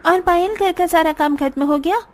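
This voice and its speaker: A woman's voice: very high voice, normal speed, darkish tone, slight background noise. A woman voicing a friendly, chatty young village daughter-in-law and neighbour in a cartoon. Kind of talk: Hindi cartoon story dialogue. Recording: music behind the voice.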